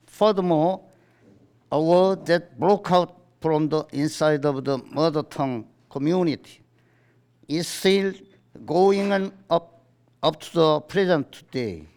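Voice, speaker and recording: A man from South Korea with a Korean accent, a native Korean speaker, formally reading out a statement in halting, deliberate short phrases. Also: a little high, darkish tone, clean tone. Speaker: writers' association chairman giving a statement. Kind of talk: formal read-aloud statement. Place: press conference in a book salon.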